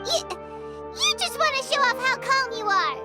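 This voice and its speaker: A woman voicing a small, childlike fairy companion in an anime video game, squeaky, bubbly and easily annoyed. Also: normal speed. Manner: bubbly, expressive, speaks of self in third person. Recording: music behind the voice.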